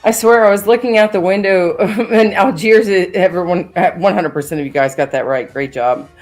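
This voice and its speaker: A woman's voice: medium pitch, normal speed, darkish tone, soft background music. A woman co-hosting a live-stream trivia night, chatting and reading quiz options aloud. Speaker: stream co-host.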